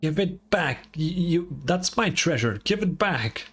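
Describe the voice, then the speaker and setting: A man's voice: fairly high pitch, lively intonation, a little slow, a bit bright, husky, exaggerated voice. A man voicing a proud, prickly female master thief in a video game, brash and demanding. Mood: defiant.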